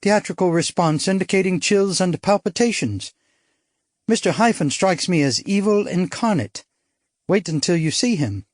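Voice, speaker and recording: A man's voice: sits high, expressive, moderate pace, dry recording, studio quality, pitched above the performer's own voice. A man voicing an arch, daring young actress. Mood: arch, playful. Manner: arch, playful, theatrical. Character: witty, daring young upper-class Englishwoman, an actress.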